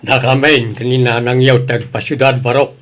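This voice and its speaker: A man's voice: medium pitch, steady tone, normal speed, fairly bright tone, lightly acted. A man playing a stern, concerned older father from the province in an audio drama, with an Ilocano Filipino accent. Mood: troubled, reproachful.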